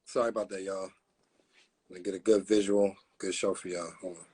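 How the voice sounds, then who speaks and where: A man hosting a live stream, talking in a laid-back casual way and backing his guest up with steady agreement. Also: low-pitched, fairly quick, slightly rough.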